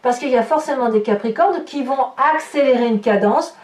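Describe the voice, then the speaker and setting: A woman's voice: fairly high pitch, brisk, a little mellow. A woman giving an improvised tarot reading to viewers, emphatic, repeating and building on her phrases. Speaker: tarot reader, horoscope host. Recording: roomy echo, distant microphone.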